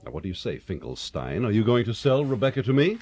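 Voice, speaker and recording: An actor playing a brash, confident, wealthy brother in a radio drama, emphatic and self-assured with a gloating edge. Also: male voice, lowish voice, very animated, quick pace, darkish tone. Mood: competitive.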